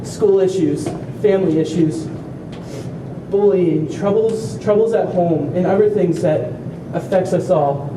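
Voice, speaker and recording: A young man giving an earnest, heartfelt speech at a community walk, slightly halting as he speaks. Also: high voice. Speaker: family member speaker at an awareness walk. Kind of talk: prepared speech to a crowd. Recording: echoey, distant, noisy event recording.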